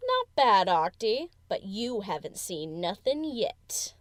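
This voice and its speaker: A woman's voice: medium pitch, sing-song, slow pace, a bit bright. A woman voicing a cheeky, cocky female DJ pony in an audiobook, casual, slangy and teasing. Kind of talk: audiobook character acting.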